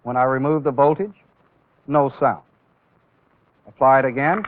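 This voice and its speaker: A man giving an instructional demonstration as a training-film instructor, explaining deliberately step by step and stressing key words, on an old film soundtrack. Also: medium pitch, phone-quality sound, noisy background.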